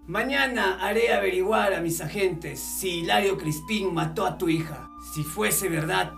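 A man playing a proud, cold village hired killer in a radio drama, deliberate and boastful. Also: high-pitched, gravelly, a bit bright, soft background music, exaggerated voice. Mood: cool, bargaining.

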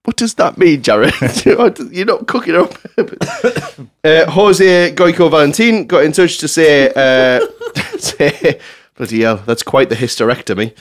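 Male podcast host, slightly high pitch, casual bantering delivery, prone to laughing through what he reads. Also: lively intonation, measured pace. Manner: giggly, often breaking into laughter while reading. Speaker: podcast host reading out listener submissions.

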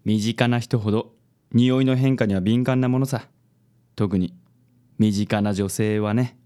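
A man playing a suave, vain handsome company president in a Japanese radio comedy, smooth and affected, dropping in English words. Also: on the low side, steady tone, a little slow, warm tone, natural voice. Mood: suave, pleased. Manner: smooth, self-assured, affected. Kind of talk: Japanese comic radio drama acting.

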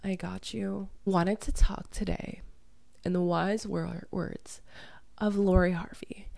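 Hoarse woman with a gravelly voice. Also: fairly low pitch, steady tone, slow pace, darkish tone, no room sound. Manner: candid, swears freely, laughs easily. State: hoarse, losing her voice from illness. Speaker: podcast host and online dating coach. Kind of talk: casual solo podcast chat.

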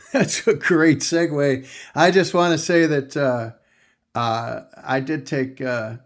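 A man's voice: on the high side, steady tone, slow pace. Joking, rambling male podcast co-host. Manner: joking, rambling, laughs between lines. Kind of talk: casual chat.

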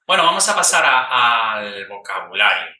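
A man hosting a casual interview, speaking in a relaxed, thoughtful way with frequent hesitations. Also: lowish voice, moderate pace. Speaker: podcast host interviewer. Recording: echoey room, distant mic.

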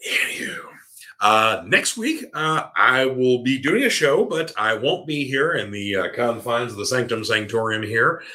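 Male host, bright tense tone, slightly hoarse and worn, rambling casual chatter. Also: medium pitch, lively intonation, unhurried. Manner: rambling, with many fillers and restarts. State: voice tiring, a little hoarse. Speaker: podcast host. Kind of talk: casual solo chat reading listener comments. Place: home room with a cat nearby.

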